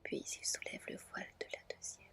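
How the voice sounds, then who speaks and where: A woman reading a fairy tale as ASMR in a gentle whisper, with hushed, deliberate phrasing. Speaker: ASMR storyteller.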